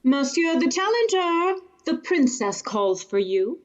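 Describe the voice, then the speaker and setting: An affected courtier in a Shakespearean radio play. The delivery is slow and very animated in pitch, on a slightly dull recording. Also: female voice, exaggerated voice. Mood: affected, courtly.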